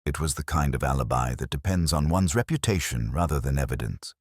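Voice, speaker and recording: A man narrating a scripted first-person detective story in a measured, solemn, literary manner. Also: extremely low pitch, animated, husky, dry recording, clean studio sound.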